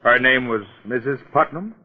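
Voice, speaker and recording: An actor playing a polite, methodical police detective in a 1940s radio drama. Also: male voice, medium pitch, moderate pace, lightly acted. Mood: insistent. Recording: dull old radio recording.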